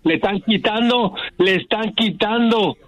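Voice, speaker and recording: A man's voice: sits high, unhurried, fairly bright tone, no echo. A man who is a regular on a radio show, calling in and arguing bluntly and stubbornly. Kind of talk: call-in banter on radio.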